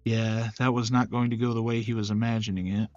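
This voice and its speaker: A man playing a protective, observant male character in a visual novel, low-key and steady. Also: fairly quick, highs cut off, soft background music, lightly acted. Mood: calm, supportive.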